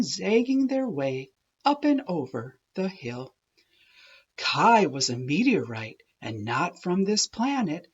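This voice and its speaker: A woman reading a rhyming children's story aloud in an expressive, deliberate, sing-song way. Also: low-pitched, husky, highs cut off. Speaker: children's book reader. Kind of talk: animated storybook read-aloud of a rhyming tale.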